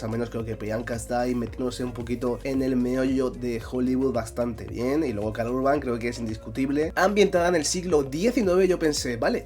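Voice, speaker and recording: Stuffy-nosed guy from spain chatting through a movie review, mid-pitch voice, bit of soft music behind. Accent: Spain Spanish. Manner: chatty, keeps asking "¿no?". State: congested with a cold. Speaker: film critic. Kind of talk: casual film review.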